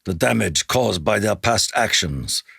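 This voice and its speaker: An actor playing a solemn, brooding Japanese samurai in a video game, speaking formally and portentously in a gravelly, husky voice with a Japanese accent. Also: male voice, on the low side, animated, sharp tone, no room sound, clean studio sound, heavily acted. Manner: formal, portentous, deliberate delivery. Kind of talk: theatrical video game character lines.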